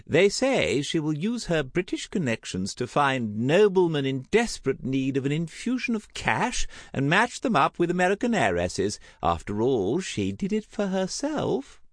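A theatrical older aristocratic lady, played by a male narrator, delights in gossip for an audiobook. The voice sits high and leaps around in pitch, gushing and emphatic, in a very dry recording. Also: very animated, moderate pace, hardly any pauses, no echo. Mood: teasing, knowing. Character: lively, dramatic, gossip-loving older aristocratic aunt. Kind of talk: audiobook character acting.

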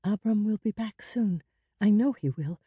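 A woman voicing a loving, anxious female character in an audiobook, pleading and emotional, with a breathy delivery. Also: medium pitch, moderate pace, mellow, no echo, clean studio sound, pitched above the performer's own voice. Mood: anxious, desperate.

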